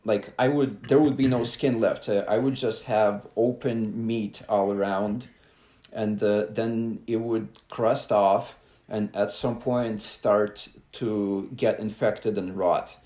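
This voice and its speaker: A man from Belarus speaks in a somewhat low voice, pausing often with "uh" as he tells his story openly. His voice carries a noticeable roomy echo. He sounds like a young adult guest on a casual podcast. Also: steady tone, dark tone. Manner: halting, many "uh" fillers, earnest and open. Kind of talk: casual interview talk.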